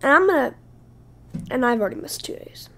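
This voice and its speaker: A woman hosting her own podcast, speaking casually while hoarse from losing her voice, halting and often dropping to a breathy whisper. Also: high voice, lively intonation, a little hiss or hum. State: hoarse, lost voice. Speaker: podcast host. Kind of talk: casual solo podcast talk.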